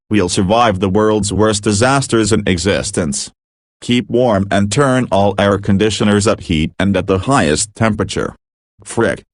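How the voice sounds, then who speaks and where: A man's voice: on the low side, no echo, clean studio sound, natural voice. A man playing a frazzled emergency broadcast announcer in an amateur doomsday drama, mixing formal bulletins with slangy exclamations. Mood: dismayed. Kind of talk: amateur scripted emergency broadcast narration with informal outbursts.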